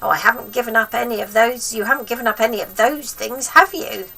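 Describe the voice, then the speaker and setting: A woman's voice: fairly high pitch, quick pace, gravelly, pushed and bright, far from the mic, pitched above the performer's own voice, exaggerated voice. A woman voicing a selfish, grumpy old grandmother from a children's storybook, snappish, scolding and demanding. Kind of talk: acted children's storybook reading.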